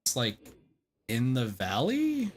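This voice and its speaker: A man's voice: mid-range voice, huge pitch swings, slow pace, breathy edge. A man giving casual livestream commentary on a game, muttering to himself and swearing mildly. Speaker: game streamer.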